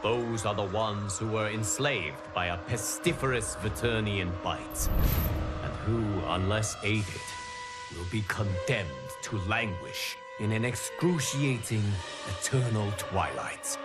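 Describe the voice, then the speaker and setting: A man voicing a solemn, godlike male guardian of the timelines, narrating game cutscenes in a grave, measured way. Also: fairly low pitch, animated, over loud music.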